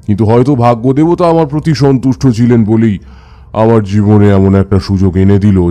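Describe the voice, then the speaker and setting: A man playing a seasoned tantric who tells his tales in a measured, reverent manner for an audio horror story. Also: fairly low pitch, warm tone, close to an everyday voice. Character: Taranath, seasoned male tantric recounting his past.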